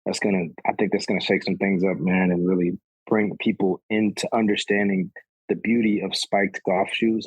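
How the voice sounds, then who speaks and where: Casual podcast guest, man in his 30s, low husky voice, easygoing rambling delivery. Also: dry recording, rough recording. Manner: relaxed, rambling, says "man" often. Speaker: podcast guest, golf sneaker reviewer. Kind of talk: casual conversation.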